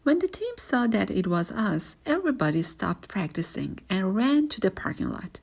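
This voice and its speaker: A woman reading a first-person children's story aloud in an expressive, animated storytelling manner. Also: medium pitch, moderate pace, vocal fry, a little hiss or hum.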